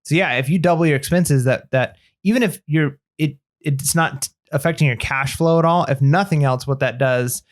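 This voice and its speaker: Steady man hosting a podcast. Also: a little high, normal speed, few pauses. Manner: steady, explanatory, guides the talk. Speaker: podcast host. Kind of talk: casual chat.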